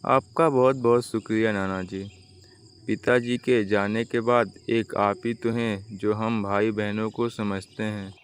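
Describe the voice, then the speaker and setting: Low, slow eldest-brother cartoon crow a man acting. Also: fairly even intonation, smooth, lightly acted. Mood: grateful. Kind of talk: Hindi children's cartoon story dubbing.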